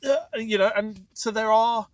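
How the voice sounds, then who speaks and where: A man's voice: high-pitched, steady tone, unhurried, no echo. A man chatting casually as a podcast host about a hobby, recorded outdoors. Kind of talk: casual group chat about off-roading. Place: outdoors in the desert by a campfire at dusk.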